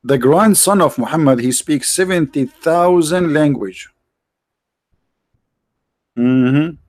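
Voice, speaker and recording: A man's voice: fairly high pitch, takes it slowly, darkish tone, no room sound. A man hosting a livestream, talking casually and sarcastically, with many restarts and fillers. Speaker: livestream host.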